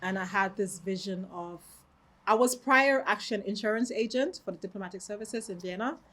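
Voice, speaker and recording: Austrian-raised shop owner, woman, thirties, calm reflective interviewee. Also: medium pitch, fairly quick. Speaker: boutique owner, guest. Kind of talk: interview answers. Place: her boutique, indoors.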